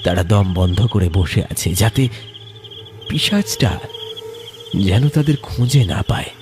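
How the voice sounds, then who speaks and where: Dramatic male horror storyteller, lively swooping pitch. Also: slow, over music. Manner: theatrical, suspenseful. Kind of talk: dramatic scripted horror narration.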